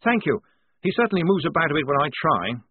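A man's voice: on the high side, big pitch swings, fast talker, breathy edge, no echo, voice pushed up. A man voicing a fussy, short-sighted young army lieutenant who stammers and hesitates. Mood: flustered. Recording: dry tinny phone-like sound.

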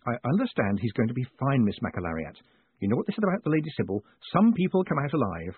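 A man acts as a wry, assured postmaster in a storybook, soothing and confident. His delivery is animated, with a slightly husky edge, on a thin phone-like recording. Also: medium pitch, fast, no echo. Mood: awkward soothing. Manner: assured, placating.

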